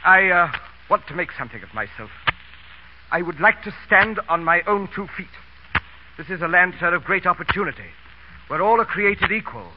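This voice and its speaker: An actor playing a polite, dignified English manservant in a radio comedy, speaking formally and haltingly with great deference. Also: male voice, high voice, lively intonation. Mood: earnest, moved. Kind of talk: 1930s-40s radio comedy acting. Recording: muffled, hissy old radio recording.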